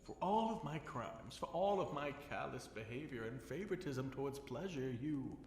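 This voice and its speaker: A man playing an obsessive, seductive fallen dragon king held captive, speaking in a slow, teasing, theatrical purr for an intimate ASMR roleplay. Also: medium pitch, animated, darkish tone, natural voice. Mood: protective, intense. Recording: roomy echo with faint noise.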